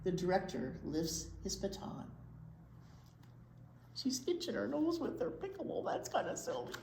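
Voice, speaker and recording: An American woman reading aloud at a storytime for young children, expressive, with drawn-out words and chatty asides. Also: medium pitch, vocal fry. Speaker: children's librarian and storytime reader. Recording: roomy echo, faint background noise.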